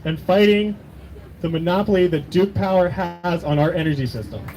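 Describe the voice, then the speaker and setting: A man's voice: high-pitched, moderate pace, very noisy. A man organizing a climate rally, giving an urgent, rousing call to action outdoors. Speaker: activist organizer.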